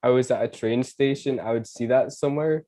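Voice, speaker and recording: A man's voice: medium pitch, fairly quick, warm tone. A Canadian-born man with a Scottish accent, hosting a casual podcast chat and laughing between phrases. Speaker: podcast host. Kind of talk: casual conversation.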